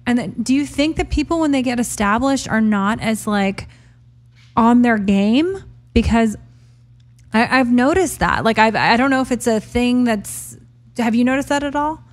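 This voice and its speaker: A woman's voice: fairly high pitch, moderate pace. A woman co-hosting an interview in casual chat, informal and peppering her talk with "like". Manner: informal, frequent "like". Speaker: interview co-host.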